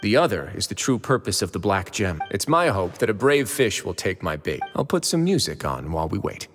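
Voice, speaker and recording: An actor playing a composed, calculating prison administrator in a video game, measured, courteous and formal. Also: male voice, fairly low pitch, very animated. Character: composed, calculating male prison administrator, titled Your Grace. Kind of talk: video game character acting. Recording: long reverb, music behind.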